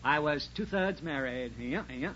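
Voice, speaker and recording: Casting: chatty small-town barber, bright and animated, rambling droll afterthoughts, 1940s radio sitcom on a dull recording. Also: male voice, on the high side, a little slow. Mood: droll, chuckling. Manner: rambling, repeats himself, tags jokes with "though". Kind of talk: 1940s radio comedy acting. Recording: muffled, faintly noisy 1940s radio recording.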